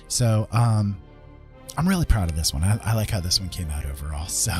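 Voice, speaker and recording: A man chatting casually on a livestream as an art streamer, rambling along in a gentle, earnest way. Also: on the low side, lively intonation, brisk, soft background music.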